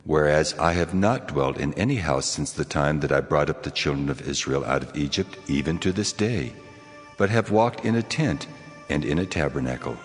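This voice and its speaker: The Lord God speaks from an extremely deep, rumbling register. Each line is solemn and measured, rolling out through a long, cavernous reverb. Soft music sits behind him in this audio drama. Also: male voice, animated. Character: the Lord God, vast and commanding. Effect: long hall reverb. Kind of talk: dramatized scripture reading.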